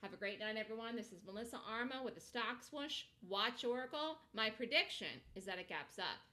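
A woman's voice: fairly high pitch, lively intonation, fairly quick, sharp tone. A woman host giving informal, conversational trading commentary, thinking aloud, asking rhetorical questions and repeating words for emphasis. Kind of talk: informal market commentary over screen-shared charts.

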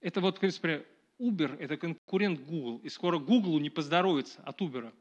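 A middle-aged man giving a public lecture to a live audience in a small dark room, talking conversationally with many fillers and restarts, recorded from a camera at a distance. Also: on the high side, fast talker, dull audio.

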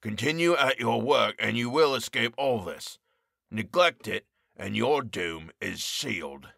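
A man voicing a hideous male jailer with a harsh, croaking voice and a slow, jeering delivery. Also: mid-range voice, expressive, fairly bright tone, no echo, clean studio sound, heavily acted. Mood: menacing.

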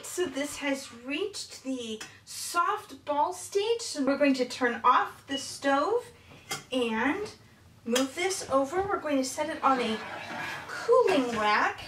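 A woman's voice: high-pitched, fairly bright tone. A middle-aged woman hosting a homely, chatty recipe demonstration to camera in a grandmotherly storybook manner. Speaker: cooking show host in character as Mrs. Claus. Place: home kitchen, indoors. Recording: very echoey, distant mic, faint room noise.

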